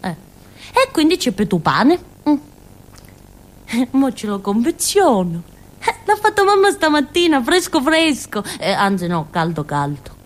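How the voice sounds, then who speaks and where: An actress playing a naive, excitable village simpleton girl in a theatrical monologue, with a Calabrian accent and a rambling, repetitive, childlike manner. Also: sits high, unhurried, heavily acted. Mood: eager, chatty.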